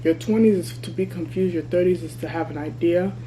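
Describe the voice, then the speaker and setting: A woman in her thirties talking candidly to her vlog camera while she eats, chatty and relaxed. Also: lowish voice, a little fast, slight background noise, poor audio. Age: 30s. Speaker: vlogger. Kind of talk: casual mukbang vlog chat. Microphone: camera mic.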